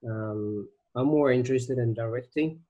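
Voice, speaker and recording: A young man from Sweden introducing himself as a prospective film student on a video call, polite and halting. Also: mid-range voice, mellow, muffled recording.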